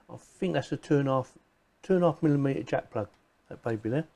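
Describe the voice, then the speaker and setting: Man, mellow relaxed tone, informal, thinking aloud, casual hands-on unboxing commentary, chatty presenter. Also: mid-range voice. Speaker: video presenter reviewing gadgets.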